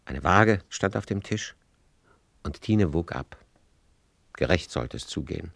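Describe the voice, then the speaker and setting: An actor playing a reflective storyteller who narrates memories of a household in a German radio play, in a measured manner. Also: male voice, deep voice, expressive, creaky, a bit bright, no room sound, heavily acted.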